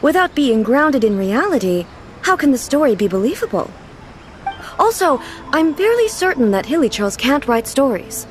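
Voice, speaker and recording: A woman's voice: high-pitched, sing-song. A woman playing a composed, regal ruler in a video game, speaking formally with earnest curiosity. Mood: puzzled, earnest. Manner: formal, measured, earnest, literal-minded.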